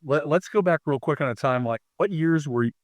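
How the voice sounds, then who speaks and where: A man's voice: fairly high pitch, fast talker, dry recording. A man with an American accent, casually putting questions to a guest as the interviewer. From Idaho, United States.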